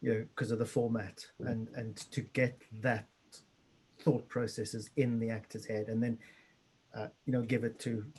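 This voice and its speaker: A man, a translator reflecting in a discussion, speaking in a measured, thoughtful way. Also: medium pitch, flat delivery, a little mellow, slightly rough, low-quality recording.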